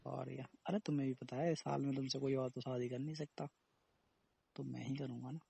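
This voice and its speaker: A man's voice: mid-range voice, fairly even intonation, fairly quick, gravelly, warm tone, breathy edge, dry recording, full character voice. A young man playing a teasing, evasive boyfriend in a casual phone-call romance drama. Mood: calm, teasing. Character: teasing young boyfriend dodging blame over a pregnancy scare.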